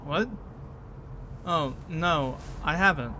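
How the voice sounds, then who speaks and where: Defensive young boyfriend. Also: male voice, fairly high pitch, takes it slowly, bright, forceful tone, slight background noise, heavily acted. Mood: defensive, irritated. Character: evasive, defensive young boyfriend on a road trip. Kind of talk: naturalistic radio drama acting.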